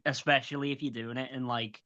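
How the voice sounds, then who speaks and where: A man's voice: mid-range voice, steady tone, fairly quick, bright, forceful tone, lo-fi sound. A man in his late twenties with a light Scouse accent, a stand-up comedian chatting as a guest, swearing and restarting often. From grew up in Liverpool. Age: late 20s. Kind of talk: casual interview chat.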